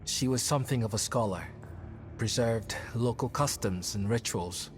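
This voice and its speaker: A man's voice: medium pitch, moderate pace, slight background noise, close to an everyday voice. An actor playing an earnest young male druid companion in a dramatic video game. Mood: wistful. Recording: game music underneath. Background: game music.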